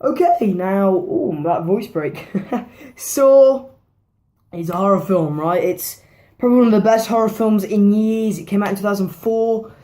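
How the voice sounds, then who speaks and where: A woman with a hoarse, worn-out voice, giving a casual movie review in a rambling way, swearing freely and repeating herself. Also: medium pitch, a little slow, mellow. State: hoarse, losing her voice.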